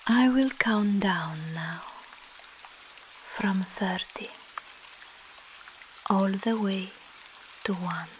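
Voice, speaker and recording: A woman with a Russian accent softly whispers a soothing ASMR sleep hypnosis, in short phrases with long pauses, guiding the listener as a breathy hypnotist. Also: mid-range voice, slight background noise, poor audio. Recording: steady rain sound behind voice. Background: rain.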